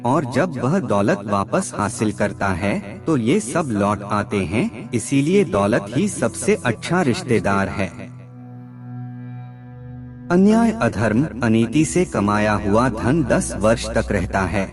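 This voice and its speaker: Slow, low-voiced man narrating over music. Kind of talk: scripted narration of aphorisms. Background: background music.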